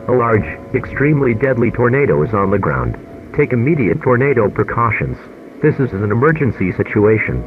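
A man playing a formal weather service announcer, reading a scripted emergency warning in a measured way. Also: mid-range voice, expressive, over music, lightly acted. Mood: formal, grave. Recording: phone-like band, loud noise.

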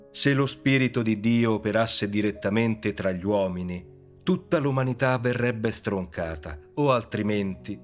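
Low-voiced man reading scripture over music. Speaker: scripture reader.

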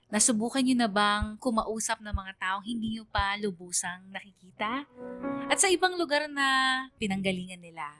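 A woman's voice: medium pitch, lively intonation, moderate pace, lightly acted. A woman playing a shy young Filipino woman who narrates her own story for radio, confiding and reflective. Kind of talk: scripted first-person radio narration. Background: music under the voice.

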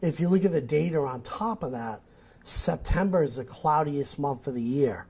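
A man's voice: on the high side, animated, moderate pace, a little mellow, husky. A man in his forties with a New England accent, a nature photographer talking as a guest on a studio interview, explaining with lots of restarts. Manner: gestures a lot, explains eagerly with restarts. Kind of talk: casual interview talk. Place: studio set. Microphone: lapel.

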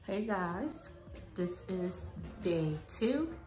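Female vlogger, lowish voice, mellow and unhurried, gushing casual tour delivery in an echoey bathroom. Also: big pitch swings, soft background music. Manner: gushing, drawn-out emphasis. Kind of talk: casual home renovation tour. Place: small tiled bathroom indoors, being remodelled. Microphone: handheld phone behind the camera.

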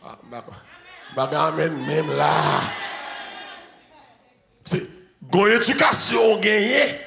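Haitian man preaching, high gravelly voice, slow shouted lines, echoey hall with soft music. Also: sing-song. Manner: shouting, chanting repetitive preaching. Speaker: pastor. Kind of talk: sermon. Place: large church sanctuary with congregation. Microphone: handheld mic.

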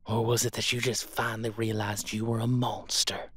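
A man's voice: lowish voice, little pitch movement, moderate pace, a bit bright, breathy edge, dry recording. A man voicing a vengeful, taunting monster hunter with a menacing drawl in an audio roleplay. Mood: accusing.

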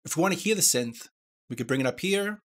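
Man narrating a software tutorial. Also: fairly high pitch, lively intonation, fast talker. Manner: step-by-step, explanatory. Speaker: tutorial presenter.

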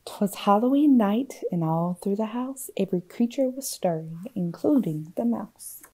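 A woman reading a children's picture book aloud expressively, with theatrical hushes and swells. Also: mid-range voice, lively intonation, a little slow, breathy edge. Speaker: storytime reader.

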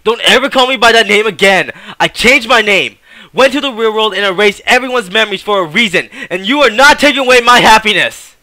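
An agitated young runaway, acted by a man, pitched high with a very bright, sharp edge. He pushes his words out urgently and defiantly in a close, dry recording, like a fast dramatic audition. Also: expressive, no room sound, heavily acted. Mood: frantic, angry.

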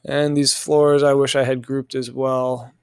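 Male software instructor, mid-pitched, clean and dry sound, casual step-by-step screen narration. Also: little pitch movement, a little slow, smooth, no echo. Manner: informal, thinking aloud step by step.

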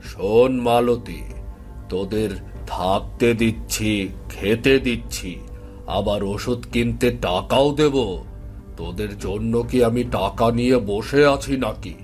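A man playing a stern, grudging village householder in an animated moral story, gruff and commanding. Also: lowish voice, slow, faint music behind.

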